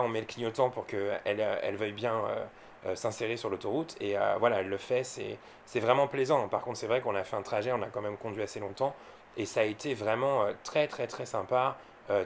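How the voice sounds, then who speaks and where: French guy vlogging casually, lowish voice, kinda halting explanations, noticeable background noise. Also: vocal fry. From France. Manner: hesitant, informal, explanatory. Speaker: electric car owner and vlogger. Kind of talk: casual unscripted vlog commentary.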